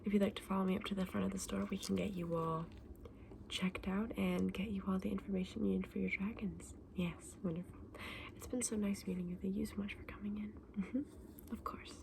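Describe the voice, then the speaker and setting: A woman playing a kindly, chatty fantasy saleswoman in an ASMR roleplay, mixing breathy whispers with soft, gentle, unhurried speech. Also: mid-range voice, fairly even intonation, slight background noise, lightly acted. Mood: warm, pleased. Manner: gentle, unhurried, hesitant, endearing customer address. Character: kindly, chatty saleswoman in a dragon egg shop.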